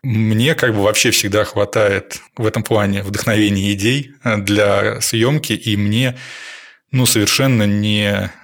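A man with a somewhat low voice, relaxed and conversational as a podcast guest. He talks at length and thinks aloud, with plenty of fillers along the way. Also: measured pace. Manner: thoughtful, detailed, with frequent fillers. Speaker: podcast guest, landscape photographer.

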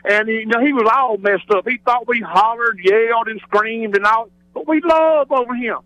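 A man's voice: extremely high pitch, normal speed, bright, forceful tone, no room sound. A man with a Southern US accent phoning a radio show, rambling in an exuberant, folksy way. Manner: exuberant, digressive, folksy showman. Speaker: regular radio phone-in caller. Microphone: telephone. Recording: radio broadcast phone call.

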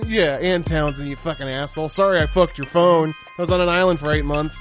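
A man with a fairly high voice banters as a podcast co-host. He jokes and swears freely in a loose, casual way, with music clearly behind him. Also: fairly quick. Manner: sweary, joking.

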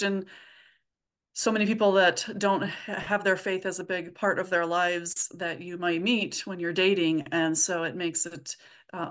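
A woman with a slightly low voice chats as an interview guest. Her delivery is informal and halting, as she works out each thought while speaking. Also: a bit bright. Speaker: podcast guest, comedy audio drama writer. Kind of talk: casual interview chat.

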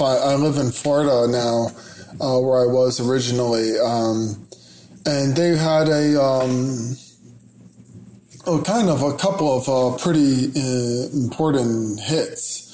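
A man speaking as a podcast guest, measured and hesitant, with many fillers. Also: medium pitch, a little mellow, slight background noise. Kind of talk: casual chat. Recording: echoey room.

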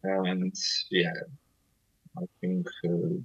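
A man from Poland with a Polish accent, guest vocalist of a death metal band, speaking halting non-native English with many fillers over a call. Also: low-pitched, completely flat delivery, husky, highs cut off.

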